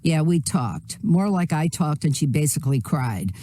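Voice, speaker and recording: A man's voice: fairly high pitch, very animated, moderate pace, mellow, no echo, clean studio sound. A man playing a chatty, protective older parent-in-law in video game dialogue, rambling and reassuring.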